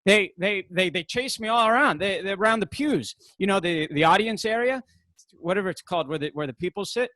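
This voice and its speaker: A man plays an impulsive, sheepishly defiant male activist in a video-call comedy. His voice is high and bright, with very little room sound. He rambles and stammers excitedly. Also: fairly quick, no echo. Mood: excited, rambling. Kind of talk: comedic stage acting over video call. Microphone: video call microphone.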